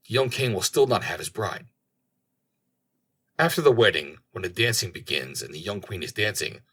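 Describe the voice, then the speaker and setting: A man's voice: fairly low pitch, a bit bright, breathy edge. A man voicing a knowing raven in a storybook tale, speaking in a dramatic, ominous and declaiming manner. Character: knowing raven foretelling doom to a companion.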